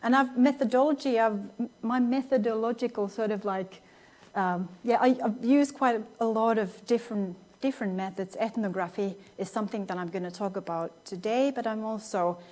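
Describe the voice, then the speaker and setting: A woman's voice: fairly high pitch, normal speed, a little mellow. A woman in her thirties from Finland, a non-native speaker giving a thoughtful academic lecture with many restarts and fillers. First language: Finnish. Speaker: guest lecturer.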